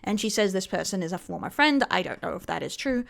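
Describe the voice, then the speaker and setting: A woman hosts a commentary video and talks through each clip in a skeptical, critical tone. Her voice sits at an ordinary middle pitch. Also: lively intonation, fast, vocal fry. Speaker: commentary host. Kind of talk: reaction commentary.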